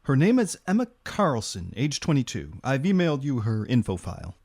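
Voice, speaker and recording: An actor playing a dutiful, deferential government agent in an audio drama, reporting in a formal, businesslike way. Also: male voice, medium pitch, very animated, brisk, a little mellow. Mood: dutiful, concerned.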